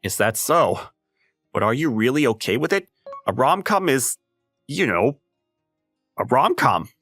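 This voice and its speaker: An exasperated high school boy acted by a man with a highly animated, swinging delivery. He is a flustered, introverted young writer from an anime comic dub who lectures dramatically, with music playing underneath. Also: measured pace, full character voice. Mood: hesitant, surprised. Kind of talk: anime-style comic dub acting with narration.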